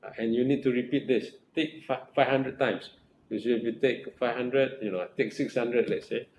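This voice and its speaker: A man's voice: mid-range voice, fairly even intonation, normal speed, darkish tone, lo-fi sound. A middle-aged man with a Malaysian accent advising a research student as supervisor, earnest and repetitive, restarting phrases and asking "right?" often. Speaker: academic supervisor. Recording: echoey room with faint noise.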